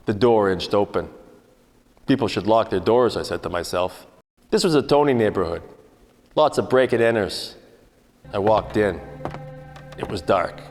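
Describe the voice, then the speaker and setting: An actor playing a wisecracking, world-weary 1940s private eye, narrating in a wry, hard-boiled radio-parody style. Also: male voice, on the low side, a little hiss or hum. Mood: wry, tense. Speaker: actor narrating the lead part. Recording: long hall reverb, music underneath.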